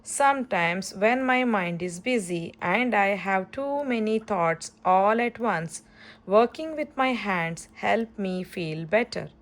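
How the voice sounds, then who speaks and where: A woman's voice: mid-range voice, expressive, slow pace. A woman voicing a cheerful female cartoon emotion in a storybook, an encouraging and eager helper. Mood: reassuring.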